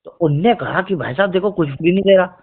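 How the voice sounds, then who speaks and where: A man's voice: high voice, quick pace, breathy edge. A man talking politics casually on a phone call, explaining insistently as a journalist would. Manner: insistent, explanatory, addressing the listener as brother. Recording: tinny, narrowband phone call recording.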